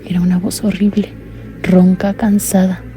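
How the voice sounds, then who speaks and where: A young woman playing a blind caller recounting a scare in a soft, earnest, confiding way. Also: lowish voice, little pitch movement, normal speed, soft background music, natural voice. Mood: uneasy. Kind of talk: radio drama narration.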